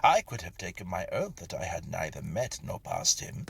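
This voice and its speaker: Male narrator for gothic tales: lowish, breathy, husky voice, animated pitch movement, hushed dramatic storytelling. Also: moderate pace. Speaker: narrator reading a short story aloud. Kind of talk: scripted narration of a Victorian ghost story.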